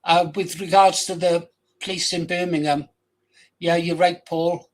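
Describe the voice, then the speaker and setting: Older Welsh-accented male host with a slightly high voice, blunt and rambling, off-the-cuff talk to camera. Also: level tone, unhurried. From England, raised in Wales from age ten. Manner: blunt, crude, rambling with restarts. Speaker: channel host. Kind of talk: casual rambling monologue.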